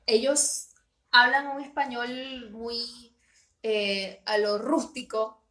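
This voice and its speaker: Bright, high-voiced Peruvian woman podcaster. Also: steady tone, slow, reverberant. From Peru. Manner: hesitant, many fillers. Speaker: podcast co-host. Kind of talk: casual podcast chat.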